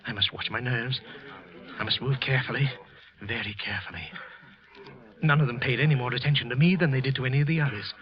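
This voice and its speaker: A man's voice: medium pitch, close to an everyday voice. Man acting tense, guilt-ridden murderer in old radio. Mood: fearful. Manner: tense, halting, repeating himself. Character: guilt-ridden, frightened office clerk narrating his murder. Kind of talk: 1940s radio suspense acting, first-person narration. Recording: muffled old radio recording.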